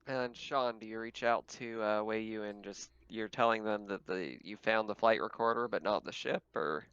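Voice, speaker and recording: A man's voice: fairly low pitch, normal speed, a bit bright, clear, resonant tone, dry recording, muffled recording. A man running a tabletop roleplaying game as game master, chatting and narrating casually.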